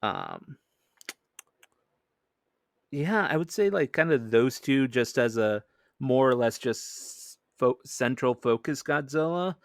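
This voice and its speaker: A man's voice: mid-range voice, sing-song, slow pace, pauses often, no room sound, studio quality. A man in a casual podcast discussion, speaking informally and easygoing as a co-host.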